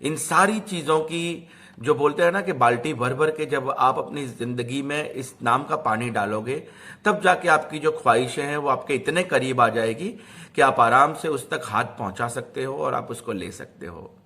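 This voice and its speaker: A man's voice: mid-range voice, moderate pace, bright, forceful tone. A man telling moral stories in an informal, motivational style, explaining things and repeating phrases for emphasis. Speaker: storyteller.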